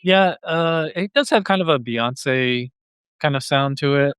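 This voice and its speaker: A man's voice: on the high side, a little slow, fairly bright tone. A man hosting a podcast and chatting casually about music. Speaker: podcast host. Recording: music playing behind the voice. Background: clear music.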